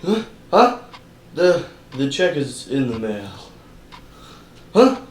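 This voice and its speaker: A man's voice: mid-range voice, big pitch swings, slow, distant mic. A man playing a wisecracking hard-boiled private eye, roomy sound. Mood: flustered. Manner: wisecracking, slangy tough-guy patter. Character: hard-boiled, cynical male private investigator, money-minded. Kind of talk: noir radio-play narration and dialogue.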